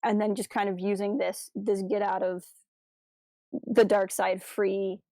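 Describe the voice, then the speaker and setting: A woman's voice: medium pitch, little pitch movement, unhurried, mellow, no room sound. A woman co-hosting a podcast in casual fan discussion, phrasing hesitantly with lots of "like". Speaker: podcast co-host.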